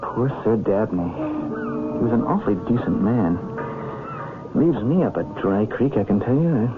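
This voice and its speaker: An actor playing a flustered, polite personal secretary who stammers hesitantly, in vintage radio drama style. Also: male voice, lowish voice, unhurried, phone-quality sound, over music, natural voice. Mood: cooperative, uneasy. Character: flustered male personal secretary of a wealthy knight. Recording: thin, noisy vintage radio recording.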